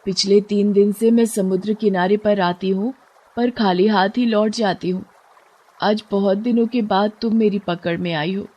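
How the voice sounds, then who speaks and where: Woman playing a humble fisherwoman, soft-spoken and kind, husky tone. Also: medium pitch, highs cut off. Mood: earnest. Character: poor, kind-hearted fisherwoman wife. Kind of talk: cartoon story voice acting.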